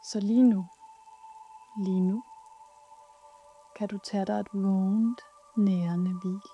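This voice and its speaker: A woman leading a guided yoga nidra meditation in a soothing, soft, unhurried way with pauses. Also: medium pitch, steady tone, lo-fi sound, soft background music.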